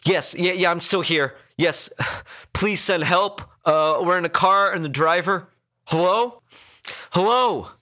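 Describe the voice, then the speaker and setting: A man voicing an angry, foul-mouthed male car passenger in an audiobook story, shouting and pleading in exasperation. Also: sits high, slow pace, pitched above the performer's own voice, heavily acted. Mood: panicked.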